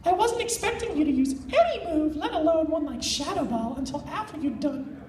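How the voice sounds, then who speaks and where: A woman's voice: high voice, lively intonation, fairly quick, darkish tone. A woman voicing a bossy, teasing female psychic cat-like creature in a live fan fiction reading. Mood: impressed, matter-of-fact. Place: convention panel room. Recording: echoey panel room, distant mic.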